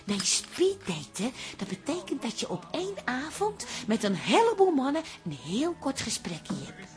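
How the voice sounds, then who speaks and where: High-voiced, chatty barmaid Toos. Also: female voice, very animated, normal speed. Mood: explaining. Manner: bubbly, giggly, colloquial storytelling. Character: cheerful, chatty, gossipy female barmaid, Toos. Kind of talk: comic Dutch radio play acting.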